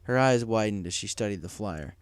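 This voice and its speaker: A man's voice: lowish voice, expressive, fairly quick. A man narrating a scripted story as an audiobook reader, in a dramatic storytelling manner. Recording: music behind the voice.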